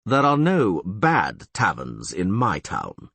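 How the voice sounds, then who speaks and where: Guy doing a panicky loud town mayor, husky, all over the place pitch-wise, audiobook. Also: wildly swooping pitch, moderate pace, no room sound, heavily acted. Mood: stern, mocking. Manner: shouting, frantic. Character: blustering middle-aged male town mayor, panicky.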